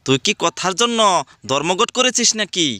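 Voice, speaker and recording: Strict male cartoon teacher, bright sharp voice, dry close sound, scolding. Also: medium pitch, lively intonation, moderate pace, no echo. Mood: stern. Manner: scolding, exclaiming. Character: strict exasperated male schoolteacher. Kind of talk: cartoon voice acting.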